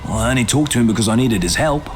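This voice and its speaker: A man's voice: medium pitch, expressive, rapid-fire, over music, lightly acted. A man playing an impatient, brusque survivor hero in a video game cutscene.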